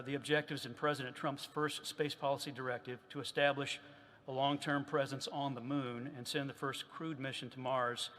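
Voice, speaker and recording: A man's voice: mid-range voice, a bit bright. A man giving prepared, formal remarks on technology to a government council, reading steadily as an industry executive. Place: large museum hall. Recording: long reverb in a large hall.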